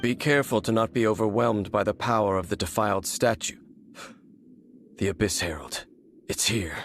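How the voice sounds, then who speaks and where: An actor playing a grim, distrustful warrior in a fantasy game, speaking in a solemn, formal, restrained way. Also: male voice, fairly low pitch, normal speed, fairly bright tone, slight background noise. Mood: grave, wary. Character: grim, distrustful male warrior guarding against dark forces. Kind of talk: fantasy video game voice acting.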